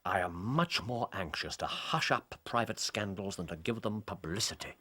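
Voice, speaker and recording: An actor playing a shrewd, discreet gentleman detective in a radio drama, with an English RP accent and clipped, precise, formal speech. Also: on the low side, animated, moderate pace. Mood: brisk, incisive. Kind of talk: British radio drama acting.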